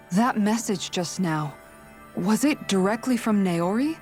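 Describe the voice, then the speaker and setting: A woman voicing a composed, analytical young woman with dry wit in a fantasy game dub, measured and wry. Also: medium pitch. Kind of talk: dramatic English game dub acting.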